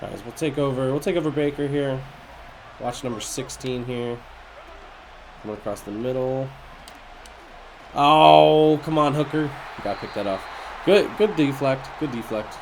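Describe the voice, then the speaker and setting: A man with a raspy, nasal, congested voice giving casual commentary on a video game as host. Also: mid-range voice, moderate pace, long pauses, background noise. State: congested after being sick.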